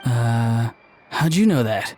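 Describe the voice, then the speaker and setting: An actor playing an earnest, awkward young man in a video game, with a halting, deadpan delivery. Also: medium pitch, faint music behind.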